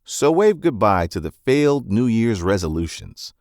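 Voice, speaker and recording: Male narrator for non-fiction summaries. Low register, animated delivery, emphatic phrasing, dry close recording. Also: moderate pace, no echo.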